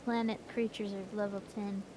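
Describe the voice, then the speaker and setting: A woman's voice: mid-range voice, little pitch movement, normal speed. A woman chatting casually as she plays through a game on a livestream with a co-host. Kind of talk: casual chat while playing a game. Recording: livestream with clear background noise, game ambience.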